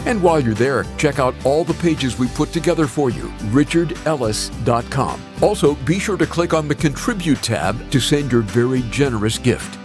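A male announcer reads scripted radio copy with a lively, swooping intonation. Guitar music plays clearly behind his mid-pitched voice. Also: normal speed, few pauses. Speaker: program announcer. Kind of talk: scripted radio outro narration. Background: guitar music bed.

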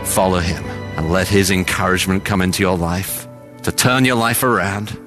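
A man's voice: deep voice, fairly even intonation, normal speed, music in the background. A man preaching a sermon in an earnest, exhorting way.

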